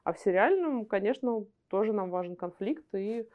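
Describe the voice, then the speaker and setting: A woman's voice: mid-range voice, animated, normal speed, phone-quality sound. A woman explaining her work informally as an interview guest, wordy and often rephrasing as she goes. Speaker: animation screenwriter and script editor, interview guest.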